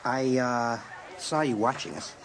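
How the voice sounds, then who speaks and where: A man's voice: mid-range voice, unhurried, noisy background, lightly acted. Man playing a hesitant, nervous American teen boy. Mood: nervous, awkward. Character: nervous, awkward American high school boy. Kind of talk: dramatised audiobook acting.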